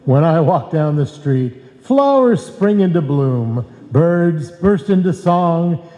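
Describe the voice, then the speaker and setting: An actor playing a droll, sarcastic family doctor with grandiose, ironic delivery in an old-time radio comedy. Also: male voice, fairly high pitch, lively intonation, slow pace, warm tone. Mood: mock grand. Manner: grandiose, dry irony. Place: live stage performance in a hall. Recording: muffled, very echoey live hall recording.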